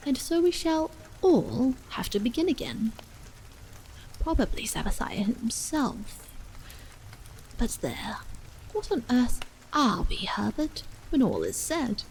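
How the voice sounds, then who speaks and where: A woman's voice: on the high side, a little hiss or hum, low-quality recording. A woman reading as a troubled, solemn, searching man. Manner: solemn, hesitant, soft. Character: troubled, earnest, introspective man searching for meaning. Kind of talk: audiobook character dialogue. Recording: steady rain sound over the voice.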